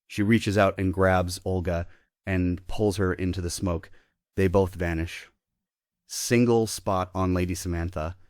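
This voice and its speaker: Low pitch, dry sound, scripted narration, stage directions, table read. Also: steady tone, a little slow, no room sound.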